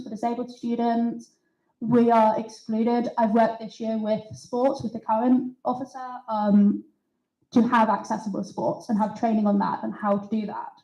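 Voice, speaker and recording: A woman's voice: a little high, warm tone, echoey room, highs cut off. A young woman student standing for election, answering questions in an earnest, organised way and taking her points one by one. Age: young adult. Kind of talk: timed candidate answer at a hustings. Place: students' union event room.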